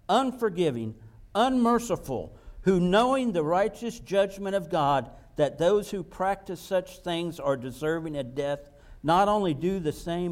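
Slow, deliberate preacher with a higher voice. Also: male voice, darkish tone. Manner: slow, deliberate, repeats key words for emphasis; reads scripture slowly and pointedly, then explains in casual asides. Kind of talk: sermon, Bible teaching.

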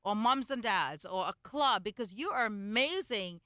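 Female interview host, forceful bright tone, somewhat high, conversational, dry studio-clean sound. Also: animated, normal speed, dry recording. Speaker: talk show host.

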